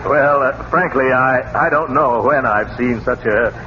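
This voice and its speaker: A man's voice: medium pitch, a little slow, close to an everyday voice. A man playing a polite, hesitant official visitor in a 1930s radio comedy, with formal, courteous speech. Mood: agreeable. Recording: noisy, muffled 1930s radio broadcast.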